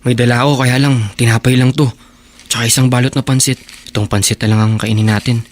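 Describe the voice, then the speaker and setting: A Filipino man acts a devoted young runaway husband in a radio drama. He is earnest and proud, in a medium-pitched male voice. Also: fairly even intonation, fast talker, a little hiss or hum, lightly acted. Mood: tender, apologetic. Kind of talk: Tagalog radio drama acting.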